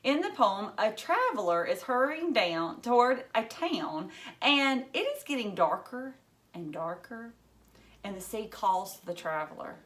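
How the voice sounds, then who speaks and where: A woman teacher reading a story aloud to children in an expressive way, emphasizing key words. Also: a little high, measured pace.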